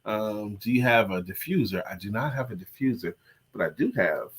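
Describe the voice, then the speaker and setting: A man's voice: fairly low pitch, moderate pace, slightly rough. A congested man co-hosting a casual live-stream chat, joking and self-deprecating. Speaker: co-host and coach.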